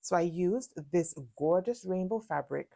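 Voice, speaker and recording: A woman's voice: fairly low pitch, animated, unhurried, vocal fry, mellow. A woman talking casually through her sewing project in a chatty, self-deprecating vlog. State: stuffy and congested. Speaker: sewing vlogger.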